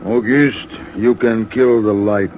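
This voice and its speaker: An actor playing a dry, sardonic chief lighthouse keeper, terse and authoritative, in an old radio horror drama. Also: male voice, fairly low pitch, fairly even intonation, takes it slowly, a little mellow. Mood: brooding. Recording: muffled, hissy old radio drama recording.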